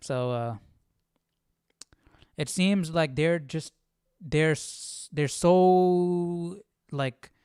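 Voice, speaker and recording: A man's voice: fairly high pitch, extremely slow, long pauses, darkish tone, smooth, no room sound, studio quality. A man hosting a casual live Bible study stream, thinking aloud in an informal, hesitant way.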